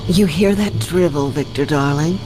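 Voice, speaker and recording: A woman's voice: sits low, normal speed, natural voice, poor audio. An actress playing a ruthless, contemptuous female crime boss in a video game, haughty and commanding. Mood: cold command. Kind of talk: video game cutscene acting. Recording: music behind.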